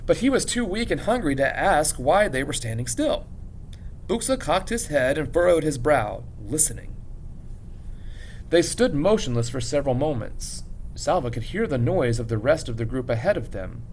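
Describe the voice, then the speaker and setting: A man reading a story aloud as a teacher would, in an expressive, measured narration with dramatic pauses. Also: fairly high pitch, slight background noise, low-quality recording.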